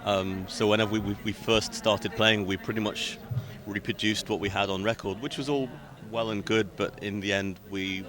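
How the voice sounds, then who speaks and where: A man, a musician, answering interview questions in a relaxed, wry way. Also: fairly low pitch, moderate pace, hardly any pauses, crowd noise. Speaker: musician guest. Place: festival backstage. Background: loud music.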